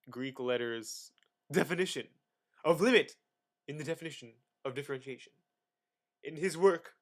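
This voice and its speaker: A man giving an amateur dramatic reading of encyclopedic text, mock-grandiose, with sudden emphatic swells and theatrical pauses. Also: on the high side, a bit bright. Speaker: amateur reader.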